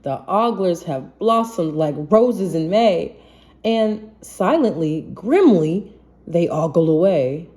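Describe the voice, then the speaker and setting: A woman reading a rhyming children's storybook aloud in an expressive, measured manner. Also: lowish voice. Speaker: children's book reader.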